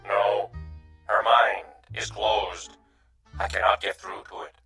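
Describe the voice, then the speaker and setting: A wise, gentle alien visitor in a radio drama. The voice is gravelly and sharply bright, picking through formal sentences slowly and deliberately. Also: male voice, fairly low pitch, little pitch movement, soft background music, heavily acted. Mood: solemn, sincere. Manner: formal, measured, deliberate phrasing. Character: gentle, wise alien stranded among humans.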